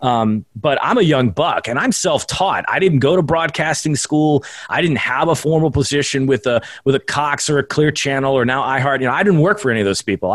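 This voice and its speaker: A young man who works in radio talks as a podcast guest, rambling happily with jokey, self-deprecating asides. His voice sits at an ordinary pitch for a man and is recorded dry and close, with no room sound. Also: dry recording. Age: young adult. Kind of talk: casual podcast interview chat.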